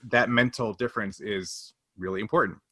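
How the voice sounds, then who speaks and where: Bright-voiced man hosting a casual podcast. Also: lowish voice, a little fast, no echo, studio quality. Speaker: podcast host.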